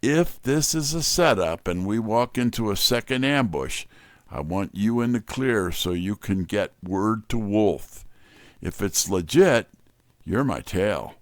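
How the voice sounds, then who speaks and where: A man's voice: fairly low pitch, lively intonation, measured pace, no echo. A man giving a scripted, wry first-person hardboiled detective narration.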